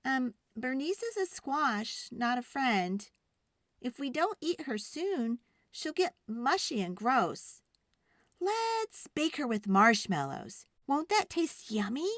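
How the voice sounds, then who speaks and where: Woman as a high-voiced, coaxing storybook mother. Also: very animated, slow, a bit bright, no room sound, studio quality, exaggerated voice. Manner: tactful, hesitant, coaxing.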